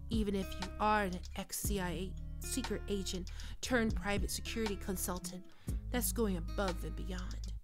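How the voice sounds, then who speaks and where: A woman's voice: medium pitch, fairly even intonation, moderate pace, music in the background, lightly acted. A woman playing a grateful, sultry heroine on the run in a spy film love scene. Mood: tender, grateful.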